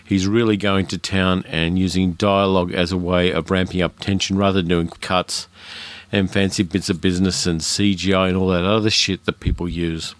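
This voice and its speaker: A man's voice: low voice, flat delivery, moderate pace, no echo. A man with an educated Australian accent, hosting his own film podcast in a frank, chatty way with fillers and restarts. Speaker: podcast host. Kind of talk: casual solo podcast monologue.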